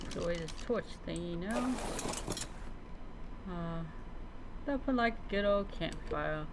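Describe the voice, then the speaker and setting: A woman telling a story in character, reading aloud haltingly with reminiscing asides. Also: on the low side, lively intonation, poor audio. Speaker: roleplay streamer.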